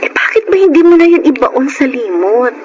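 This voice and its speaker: High-voiced, coaxing younger sister. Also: female voice, big pitch swings, brisk, lo-fi sound, noisy background. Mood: gently probing. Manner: coaxing, earnest. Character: caring younger sister, gently persuasive. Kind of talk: Filipino radio drama acting.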